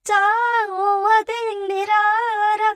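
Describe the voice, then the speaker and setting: A woman singing a parody film song in drawn-out phrases. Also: very high voice, level tone, fairly bright tone, no room sound.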